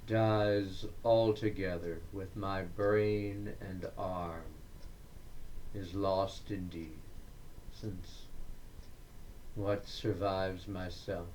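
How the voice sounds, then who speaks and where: Very slow-speaking man reciting verse. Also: lowish voice, darkish tone, rough recording. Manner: deliberate, declamatory, with frequent pauses between short phrases. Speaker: poetry reader.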